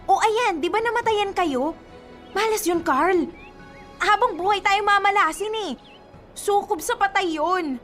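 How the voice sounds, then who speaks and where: A woman's voice: very high-pitched, measured pace, pauses often, a bit bright, soft background music. A woman with a Filipino accent playing a superstitious, stubborn young bride-to-be in a radio drama, scolding and insistent. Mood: agitated, stubborn.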